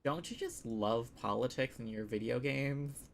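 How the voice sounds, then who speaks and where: Low-voiced woman streaming a game. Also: a little slow, creaky, a little hiss or hum. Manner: playful, reactive. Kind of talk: casual live game commentary, reading along and reacting.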